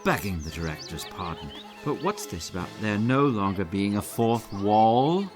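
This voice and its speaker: A man playing a formal, polite gentleman adventurer from the past in an audio drama, with courtly diction. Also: on the low side, expressive, moderate pace, music in the background, lightly acted. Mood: courteous, proper.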